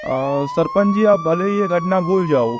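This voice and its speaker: A man's voice: high-pitched, a little slow, highs cut off. A man playing a boastful, vengeful village schemer in a comic Hindi cartoon. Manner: boastful, plotting.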